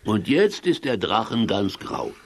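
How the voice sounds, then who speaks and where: A man playing a villain's naive, wheedling, soft-hearted sidekick in a children's audio drama. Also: on the low side, sing-song, heavily acted. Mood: coaxing, curious. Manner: naive, coaxing, drawn-out.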